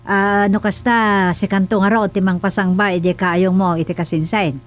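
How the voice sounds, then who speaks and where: A woman's voice: mid-range voice, fairly even intonation, moderate pace, soft background music, lightly acted. A woman with an Ilocano accent acting an inquiring village mother in a comedic radio drama.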